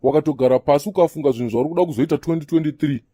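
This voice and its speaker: A man's voice: a little high, racing, dark tone, husky, no echo. A man voicing a preachy older Zimbabwean prophet in a comedy cartoon, holding forth to the younger men like an elder. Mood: preachy, chiding. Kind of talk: comedy cartoon dialogue in Shona mixed with English.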